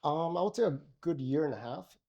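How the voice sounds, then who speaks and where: A man's voice: fairly high pitch, lively intonation, fairly quick, dull audio. A middle-aged man from Miami, a podcast guest, talking casually with many fillers and restarts. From Miami, Florida. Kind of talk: casual interview conversation.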